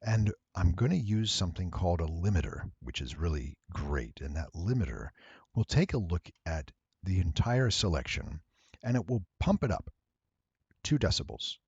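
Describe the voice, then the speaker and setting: Low, husky man explaining, lively pitch. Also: moderate pace, dry recording, muffled recording. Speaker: voice actor giving an audio editing tutorial. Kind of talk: explanatory screen-recording narration.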